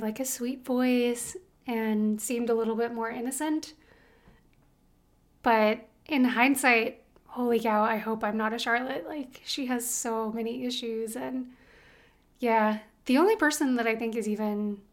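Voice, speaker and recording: Playful woman podcast host. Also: a little high. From United States. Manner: playful, laughs, breaks into song. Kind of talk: casual chat.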